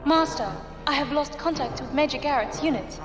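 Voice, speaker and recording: A woman's voice: high-pitched, brisk, close to an everyday voice. Echoing, formal female ship intelligence played by a woman. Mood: formal, reporting. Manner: precise, formal, deferential. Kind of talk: science fiction audio drama acting. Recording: echoing hall reverb, music behind.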